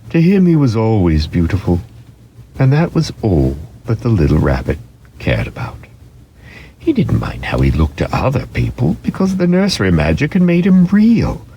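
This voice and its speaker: A man giving a dramatic storybook narration in an expressive, deliberate way. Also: fairly low pitch, gravelly, a little hiss or hum.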